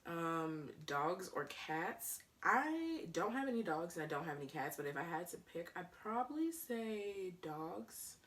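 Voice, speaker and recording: A woman's voice: on the low side, big pitch swings, a little slow, few pauses, fairly bright tone, distant mic. A woman in her early twenties from upstate New York, chatting casually and rambling with lots of ums and giggles as a vlogger. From Rochester, New York, USA. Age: 20s. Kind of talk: casual get-to-know-me chat.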